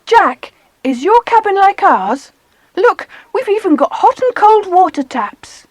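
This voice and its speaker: Sweet eager little girl, really high voice, swoops about, audiobook play. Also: sing-song, measured pace, dry recording, full character voice. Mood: delighted. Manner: eager, exclaiming. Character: excitable, sweet young girl on holiday. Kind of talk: dramatised children's audiobook acting.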